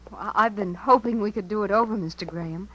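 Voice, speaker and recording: An actress playing an earnest, devoted young small-town niece in a 1950s radio drama. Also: female voice, medium pitch, quick pace, pushed and bright, poor audio. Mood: polite, flustered. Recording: hissy, humming 1950s radio recording.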